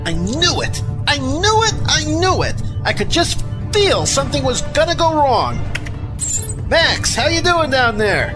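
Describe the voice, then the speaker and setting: A man's voice: very high voice, very animated, unhurried, full character voice. A man playing a wry spaceship pilot in a science fiction audio drama, with informal talk and clipped commands. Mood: urgent. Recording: loud music behind the voice.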